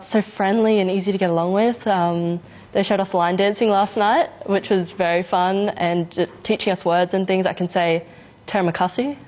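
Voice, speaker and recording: A young Australian woman, a naval cadet, speaking in a friendly, relaxed way. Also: medium pitch, normal speed. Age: young adult. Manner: friendly, relaxed, light laughs.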